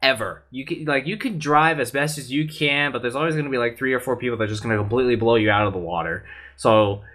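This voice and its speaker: A man's voice: mid-range voice, fast, fairly bright tone. A man on a gaming podcast panel, talking casually and earnestly, rambling with some restarts. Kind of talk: casual opinionated chat.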